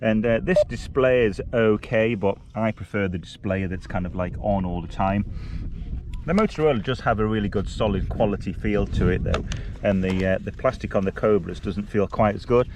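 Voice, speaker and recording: A man's voice: fairly low pitch, moderate pace. A man giving casual, conversational field-test commentary as he vlogs outdoors. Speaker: vlogger, hiking group leader. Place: outdoors on mountainsides. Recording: noisy outdoor recording with clicks.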